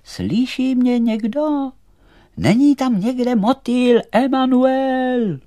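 Storybook poppy doll, male performer: little, female, kind and anxious, voice lifted high, tender delivery. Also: sing-song, vocal fry, darkish tone, husky, no room sound, studio quality, heavily acted. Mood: calling, worried. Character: small gentle female poppy doll, worried but kind.

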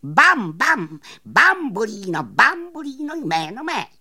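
A woman voicing a boastful, excitable little male magician with theatrical, exclamatory speech in a children's story. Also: on the high side, slightly rough, voice pushed up, heavily acted. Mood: showy pride. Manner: theatrical, repetitive, exclamatory, grandiose.

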